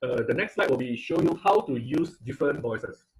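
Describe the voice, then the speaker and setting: A man's voice: medium pitch, expressive, brisk, rough recording. A man teaching an online robotics class over a video call, explaining step by step with many fillers and restarts. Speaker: instructor. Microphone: computer mic on video call.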